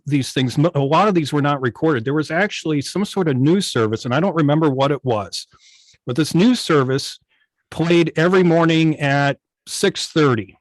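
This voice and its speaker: Guy w slightly high voice, guest on a video chat, kinda halting storytelling, really dry audio. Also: no room sound, poor audio. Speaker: guest, old-time radio station operator. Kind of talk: informal interview, anecdotal chat. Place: online video meeting.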